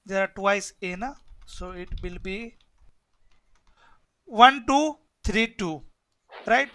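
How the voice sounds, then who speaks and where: Bright-voiced man teaching networking. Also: high voice, takes it slowly. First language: Hindi. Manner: repetitive, keeps asking "yes or no?". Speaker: networking instructor. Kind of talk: live online class lecture.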